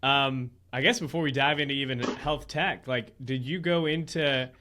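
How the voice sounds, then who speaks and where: A man's voice: medium pitch, normal speed, bright, forceful tone. Casual podcast host man. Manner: casual and rambling, with many "like"s and "kinda"s. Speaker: podcast host, go-to-market consultant. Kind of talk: casual interview questions.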